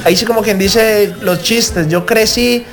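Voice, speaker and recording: A man's voice: high-pitched, a little slow, creaky, soft background music. A young Colombian man from Cali with a Colombian accent, a singer being interviewed on the radio, talking in a grateful, humble way with many fillers. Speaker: guest singer.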